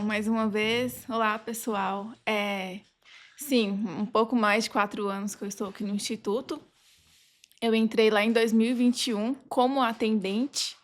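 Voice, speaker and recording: A young Brazilian woman speaks as a guest on a podcast. Her voice is a little high, and she tells her own story in an informal, conversational way. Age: young adult. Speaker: podcast guest, legal assistant. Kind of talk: personal account in casual interview.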